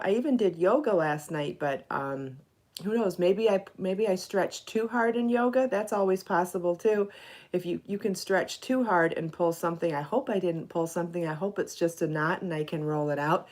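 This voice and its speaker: A middle-aged woman, slightly stuffy from allergies, chatting casually on a live podcast and greeting viewers by name. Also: fairly low pitch. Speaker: knitting and crochet designer hosting a live podcast.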